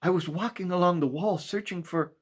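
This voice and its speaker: A man's voice: sits high, normal speed, voice pushed up. A man voicing an eager, restless young boy from a storybook, speaking in breathless spurts. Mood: sheepish.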